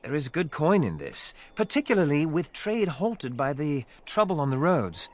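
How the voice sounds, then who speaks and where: A man's voice: a little high, big pitch swings, moderate pace. A man playing a courteous, formal merchant guild townsman in a fantasy video game. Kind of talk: video game character dialogue.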